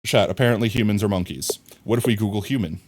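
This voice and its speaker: A man streaming a crafting game live, talking to his chat and thinking out loud, with sudden excited high yelps. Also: fast, slight background noise.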